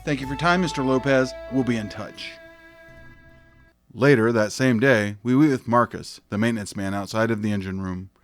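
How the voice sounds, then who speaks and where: A man's voice: mid-range voice, moderate pace, natural voice. A male detective and dad acted by a man who narrates his own cases in a comic audio play. His mid-range voice is earnest and wordy, a little clueless, with faint music underneath. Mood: polite, bumbling. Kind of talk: hardboiled-parody narration, comic audio drama.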